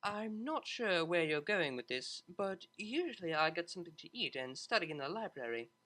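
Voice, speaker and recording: A prim young mare cellist from a pony storybook, put on by a narrator. She is refined and formal, slightly awkward and hesitant, with lilting, animated pitch. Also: moderate pace. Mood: polite, hesitant. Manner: proper, hesitant, formal wording.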